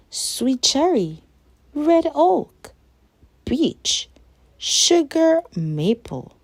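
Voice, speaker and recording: A woman reading a children's nonfiction picture book aloud in a measured, expressive way. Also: a little high, dry recording.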